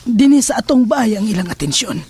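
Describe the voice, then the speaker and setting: A woman playing a watchful, fearless middle-aged mother in a horror audio drama, speaking in a grave, measured way that sometimes drops to a hush. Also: on the high side, lively intonation, very noisy, close to an everyday voice. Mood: grave, wary. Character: watchful, fearless mother figure facing hidden enemies.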